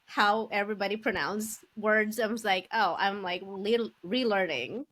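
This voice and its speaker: A woman's voice: mid-range voice, normal speed, a bit bright. A woman from the Philippines, co-hosting a podcast with giggly, encouraging banter. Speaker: podcast co-host. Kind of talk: casual podcast chat.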